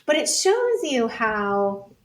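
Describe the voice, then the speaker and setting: A woman's voice: fairly high pitch, big pitch swings, slow. A woman speaking as a podcast guest and researcher in casual intellectual conversation, thinking aloud with plenty of "like" and "you know".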